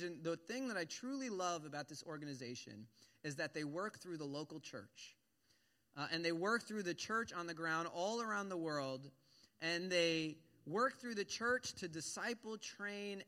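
A man's voice: sits high, pushed and bright. A man leading his church as pastor, speaking earnestly from the pulpit and often restarting with repeated words and fillers.

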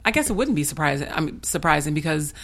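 A woman's voice: sits low, sing-song, fast, fairly bright tone. A young adult woman talking frankly in a casual chat, rambling with many fillers and restarts. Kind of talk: candid conversational chat.

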